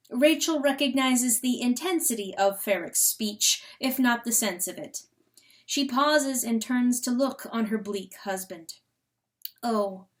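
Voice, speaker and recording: Slow, high-voiced woman narrating dramatically. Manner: theatrical, emphatic, rhetorical questions. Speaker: audiobook narrator.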